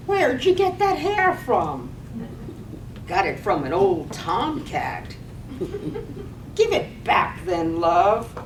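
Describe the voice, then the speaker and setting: A cheeky young maid, played by a woman in a poetic drama, teasing and very high in pitch. She draws her words out very slowly and swings up and down. The mic is distant in a roomy space. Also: exaggerated voice. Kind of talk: poetic radio play read aloud on stage. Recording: echoey room, distant mic, faint rumble.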